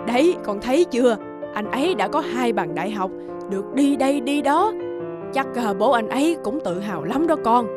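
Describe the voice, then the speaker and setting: A woman's voice: fairly high pitch, animated, moderate pace, lightly acted. A woman voicing a fretful middle-aged mother in a cartoon, exclamatory and probing, with a Northern Vietnamese accent. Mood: impressed, eager. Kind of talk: animated cartoon dialogue. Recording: music behind the dialogue.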